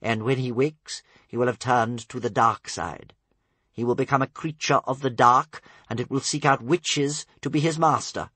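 A man voicing a grave, wise storybook advisor, solemn, admonishing and gently scolding. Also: medium pitch, fairly even intonation, normal speed, slightly rough, no echo, pitched above the performer's own voice. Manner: solemn, admonishing, rhetorical repetition.

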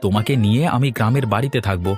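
A man's voice: lowish voice, racing, a little mellow, soft background music, lightly acted. A man voicing a proud, earnest village farmer and husband in a cartoon, firm and persuasive. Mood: coaxing.

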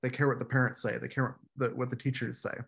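A man in his thirties speaks on a video call from a room at home, hoarse and clearing his throat as his voice wears out. His voice sits at an average pitch, and he explains each point calmly, often checking in with "right?". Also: quick pace. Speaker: workshop facilitator. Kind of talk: online workshop talk.

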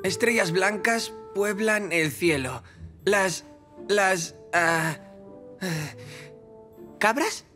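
A woman's voice: medium pitch, animated, extremely slow, long pauses, a bit bright, soft background music. A woman voicing a young, grumbling goatherd boy who is a reluctant pupil in a children's cartoon. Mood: faltering. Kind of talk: animated children's series dubbing.

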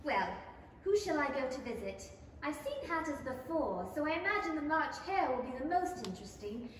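A woman's voice: high voice, very animated, normal speed. A woman playing a polite, earnest, easily startled young girl in a theatrical radio play style. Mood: musing. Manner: exclaiming, theatrical, prim. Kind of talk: 1930s radio play acting. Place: small indoor theatre stage, camera at a distance. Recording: very echoey hall from far off, noisy.

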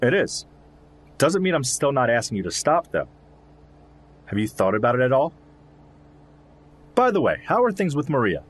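A man's voice: medium pitch, big pitch swings, moderate pace, long pauses, slightly rough. An actor playing a concerned, loyal male zoologist friend in an audio drama, speaking earnestly and reassuringly.

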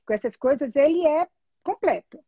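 A middle-aged Brazilian woman telling personal stories casually, in an animated, emphatic, chatty way over a video call. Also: high-pitched, normal speed. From Salvador, Brazil. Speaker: guest, therapy trainee telling her experience. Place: small room at home, indoors. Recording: dull, lo-fi video call audio.

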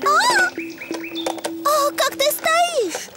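A voice actor playing a sweet, timid little girl donkey in a preschool cartoon dub, with squeaky little exclamations. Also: animated, drawn-out words, loud music behind the voice, heavily acted. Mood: unsteady, startled.

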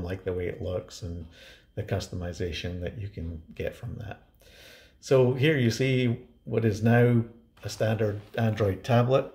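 A man explains a home tech project informally. His voice sits on the low side with a lot of creaky fry. He talks in halting, hesitant phrases, like an amateur tutorial presenter. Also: dark tone.

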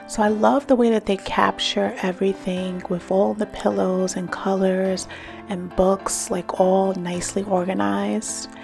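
A middle-aged woman narrating a shop-with-me vlog about home decor, enthusiastic and gushing over finds, sometimes dropping to a soft whisper. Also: medium pitch, gravelly. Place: in a car, then walking through large stores.

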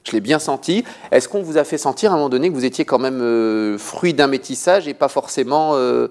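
A man's voice: medium pitch, animated, brisk, darkish tone. A man in his thirties hosting a talk show in an informal, teasing, conversational way.

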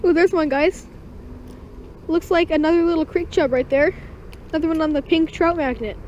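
A fishing vlogger with a high, bright-pitched voice calls out catches with upbeat excitement, talking straight to the viewers. The sound comes from an action camera worn on the body at a creek bank outdoors. The audio is dull and a bit muffled, with faint background noise. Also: moderate pace. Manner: upbeat, keeps calling the viewers guys. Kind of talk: excited first-person fishing commentary.

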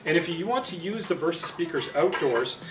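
A man's voice: a little high, expressive, a little fast. Male product presenter, 50s, plain explanatory delivery, recorded roomy and a bit off the mic. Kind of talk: product demonstration to camera. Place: indoor studio set with branded backdrop. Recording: roomy, distant mic, music behind.

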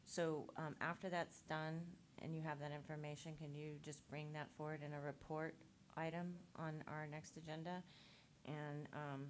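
A woman's voice: sits low, little pitch movement, normal speed, a little hiss or hum. A woman on a school board asking about an upcoming audit and moving to approve an item in a businesslike way. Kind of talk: board meeting remarks.

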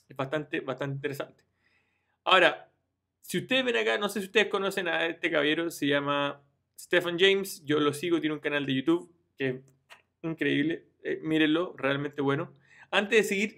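A man's voice: fairly high pitch, gravelly, a bit bright. A man, talking viewers through a screen-share tutorial in an informal way, often checking in with "ya" and restarting his phrases. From Chile. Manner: informal, addresses viewers directly, frequent "ya" and restarts. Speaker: online business youtuber.

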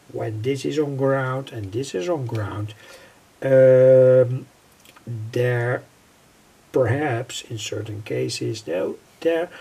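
An older man giving an unscripted technical tutorial in a halting, repetitive way, piecing phrases together. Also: medium pitch, darkish tone. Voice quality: husky, gravelly. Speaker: electronics hobbyist presenter. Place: indoors at a worktable.

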